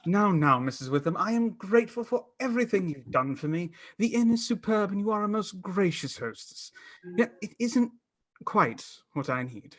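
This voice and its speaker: A studious young gentleman played by a man in a radio play. He speaks formally and courteously, with an earnest, politely stubborn air. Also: sits high, expressive, normal speed. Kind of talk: stage radio play acting.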